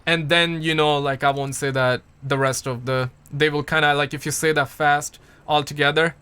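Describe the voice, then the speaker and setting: A man's voice: on the high side, fairly even intonation, moderate pace, sharp tone, clear, resonant tone. A young man from Pakistan, chatting casually on a livestream and answering viewer comments in a rambling, playful way that repeats words. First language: Urdu.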